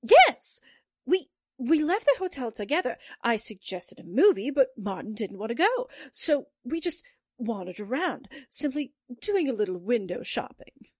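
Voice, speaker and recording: Anguished widowed mother, played by a woman, slow-spoken, melodramatic 1940s radio style, dry studio sound. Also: fairly high pitch, sing-song, breathy edge, dry recording, full character voice. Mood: nervous, insistent. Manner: melodramatic, pleading. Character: anguished widowed mother defending her son.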